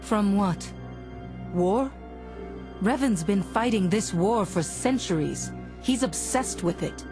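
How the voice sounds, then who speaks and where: Composed Jedi heroine, music behind. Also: female voice, mid-range voice, lively intonation, slow pace, pauses often. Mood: earnest, persuasive. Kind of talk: video game cutscene acting.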